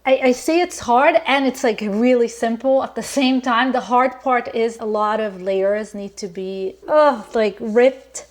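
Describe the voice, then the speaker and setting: A woman's voice: fairly high pitch, unhurried, few pauses. A woman from Lebanon talking as a podcast guest in an earnest, reflective way, with many fillers and restarts. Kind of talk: casual conversation.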